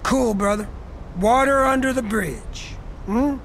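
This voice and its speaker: An actor playing a boisterous American war-veteran mercenary captain in a video game, talking like a profane football coach. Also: male voice, very high-pitched, takes it slowly, fairly bright tone, slight background noise, heavily acted. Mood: laid-back. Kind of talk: video game cutscene acting.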